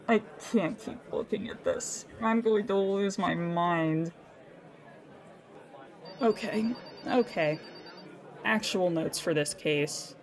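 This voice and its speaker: A female claims agent played by an actress talks to herself in an audio drama, in a medium-pitched voice. She is weary and dry, businesslike with a sardonic edge. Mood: exasperated, appalled. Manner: dry, exasperated asides amid businesslike dictation.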